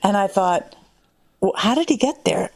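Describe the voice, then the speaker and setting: A woman from the United States chatting casually on a podcast, telling stories with animated emphasis. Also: mid-range voice, normal speed, gravelly, no room sound. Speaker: podcast co-host. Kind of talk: casual group chat.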